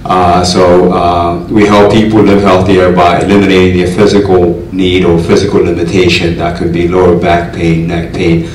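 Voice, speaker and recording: Very low, flat-voiced man introducing himself. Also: moderate pace, few pauses. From Ethiopia. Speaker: health practitioner and vendor. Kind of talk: self-introduction to a group. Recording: echoey room, distant mic, faint noise.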